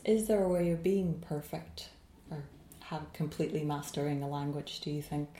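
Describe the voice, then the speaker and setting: A low-pitched female host introduces her guests and asks them questions in an easy conversational manner. Faint room ambience sits underneath. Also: moderate pace, slight background noise. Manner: warm, enthusiastic introductions. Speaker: podcast host. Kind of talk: scripted intro and interview questions.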